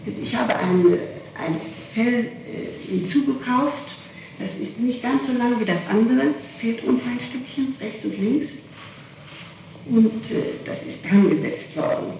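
Woman interviewee, testimony style, halting delivery with repetitions, noisy archival tape with a far microphone. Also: fairly high pitch, gravelly. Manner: halting, repeats words while explaining. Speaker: person being questioned by police. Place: reverberant room, taped police interrogation. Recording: echoey, noisy old tape, distant mic.

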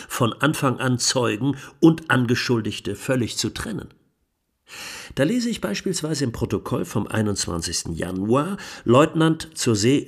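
Casting: accusing 1920s defence lawyer, mid-pitched, measured and emphatic, rhetorical courtroom plea for radio documentary drama. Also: male voice, slightly rough. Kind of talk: dramatised courtroom closing speech.

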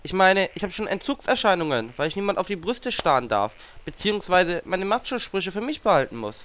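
An actor playing a brash, boastful macho adventurer in a comedy audio play. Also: male voice, high-pitched, sing-song, brisk, a bit bright, slight background noise. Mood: whiny.

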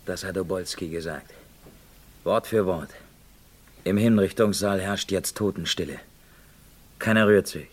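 Newspaper reporter, radio thriller: brash and pushy, deepish, husky, punchy reporting style. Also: male voice, unhurried, pauses often. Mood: urgent reporting. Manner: terse, punchy present-tense reporting. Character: brash, hard-bargaining male newspaper reporter. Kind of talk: German radio crime play acting.

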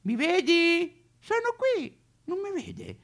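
A man's voice: extremely high pitch, big pitch swings, creaky, exaggerated voice. A male actor playing a lonely, rambling drunk tramp with a Roman accent in a theatrical comic monologue. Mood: plaintive. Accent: Roman Italian. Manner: rambling, halting, outbursts of swearing and laughter. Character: lonely toothless old male drunk vagrant, rambling and wistful.

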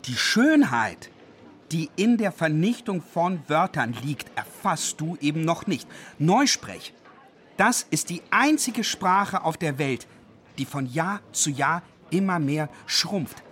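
A pedantic, wildly animated zealot. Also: male voice, a little high, slow pace, full character voice. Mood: condescending enthusiasm. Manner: pedantic, gloatingly enthusiastic. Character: zealous, pedantic dictionary compiler relishing destruction, male. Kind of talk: radio play dialogue acting. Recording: faint background noise.

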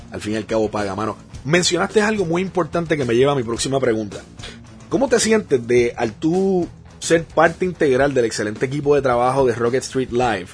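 A man, hosting a podcast and asking questions in a friendly, chatty way. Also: medium pitch. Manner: friendly, chatty, calls the guest "mano". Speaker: podcast host, interviewer. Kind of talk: casual podcast interview.

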